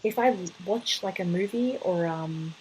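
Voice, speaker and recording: A woman's voice: on the low side, measured pace, darkish tone, a little hiss or hum, rough recording. A woman co-hosting a podcast in casual conversation over an online call. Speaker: podcast co-host.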